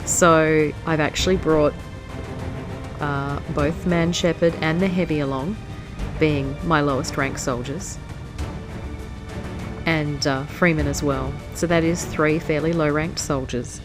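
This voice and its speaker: A woman's voice: low voice, fairly even intonation, slow, over music. A woman with a stuffy, nasal voice from hay fever, chatting casually as she plays through a strategy game. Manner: chatty, easily distracted. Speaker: let's play host. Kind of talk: casual gameplay commentary.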